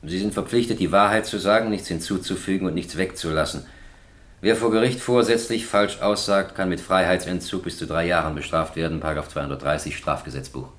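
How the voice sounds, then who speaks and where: An actor playing a stern, formal presiding judge with an official, commanding manner in a radio drama. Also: male voice, low voice, brisk, fairly bright tone. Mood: formal, procedural. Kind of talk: radio courtroom drama acting.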